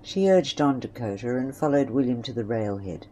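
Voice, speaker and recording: A woman's voice: rumbling low, lively intonation, normal speed, dark tone, low-quality recording. A woman reads a scripted western story as narrator. Faint ambience and noise sit behind the voice.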